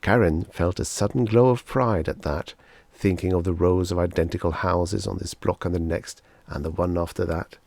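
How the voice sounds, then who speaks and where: A man reads fiction aloud as an audiobook narrator. His voice sits low and has a creaky, gravelly grain. The sound is very dry, with hardly any room around it. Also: steady tone, normal speed, a little mellow, dry recording. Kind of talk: scripted story narration.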